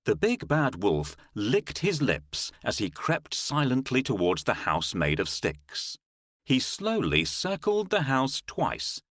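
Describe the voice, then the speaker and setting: A man narrating a children's fairy tale in a measured, expressive storytelling style. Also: medium pitch, dry recording, studio quality.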